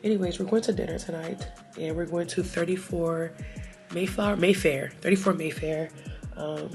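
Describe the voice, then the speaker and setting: A woman's voice: fairly low pitch, fairly even intonation, moderate pace, a little mellow, faint music behind. An American woman with a cold chatting casually and playfully in slang, with self-deprecating asides, like a beauty vlogger getting ready. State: has a cold. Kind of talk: casual get-ready-with-me chat.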